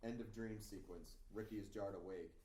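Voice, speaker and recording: A man's voice: on the low side, fairly even intonation, normal speed, dark tone. A man giving scripted narration as he reads stage directions at a table read. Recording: echoey room, distant mic, faint noise.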